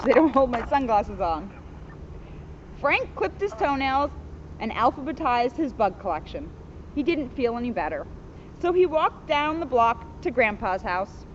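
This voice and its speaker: A woman police officer reading a children's book aloud outdoors, expressively, with chatty asides. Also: fairly high pitch. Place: outdoors in a sunny spot by a school. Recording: dull, faintly noisy outdoor recording.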